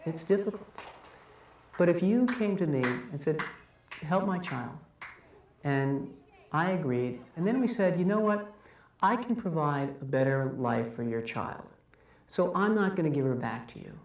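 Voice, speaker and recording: A doctor talks in an interview in a halting, hesitant way. The sound is echoey and distant. A faint room hum sits behind him. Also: male voice, fairly high pitch, animated. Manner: halting, with fillers and restarts. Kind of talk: interview and casual talk.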